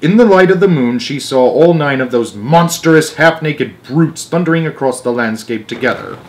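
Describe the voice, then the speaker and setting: A man narrating a children's book aloud in an expressive way, with dramatic emphasis phrase by phrase. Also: on the high side, moderate pace. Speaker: storyteller.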